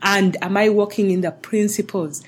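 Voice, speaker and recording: A woman's voice: medium pitch, fairly even intonation, normal speed, breathy edge. A woman from Uganda, hosting a faith-based teaching talk in an earnest, encouraging way. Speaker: show host.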